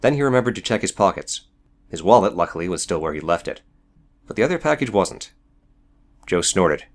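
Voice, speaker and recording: A man's voice: low voice, sing-song, brisk. A man reading scripted fiction as the narrator of an audiobook.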